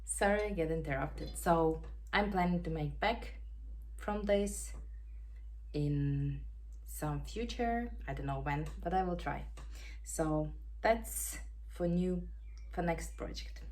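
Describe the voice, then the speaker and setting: A woman with a Ukrainian accent hosting a knitting podcast from home, chatting casually and pausing to search for words in English. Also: on the low side, a little mellow, low-quality recording. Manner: unhurried, searching for words, non-native grammar. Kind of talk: casual show-and-tell chat. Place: home in Denmark. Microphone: camera.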